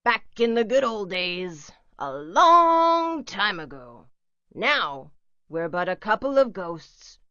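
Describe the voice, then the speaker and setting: A woman's voice: mid-range voice, pushed and bright, dry recording, full character voice. A woman voicing a jaunty old male ghost pirate who talks in a folksy drawl with rhyming patter. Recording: slightly dull lo-fi recording, a bit distant.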